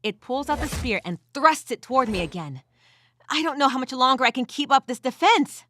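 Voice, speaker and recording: A woman's voice: high-pitched, lively intonation, brisk, fairly bright tone, a little hiss or hum, voice pushed up. A woman voicing a weary but gritty furred female adventurer who tells her story in the first person with wry asides, in an audio drama style. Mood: tense, urgent.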